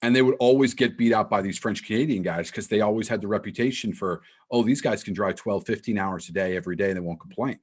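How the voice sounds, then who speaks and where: A man's voice: on the low side, fast talker, few pauses, darkish tone, slightly rough. A man from New England hosting a casual interview in an easygoing, laid-back way. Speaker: host.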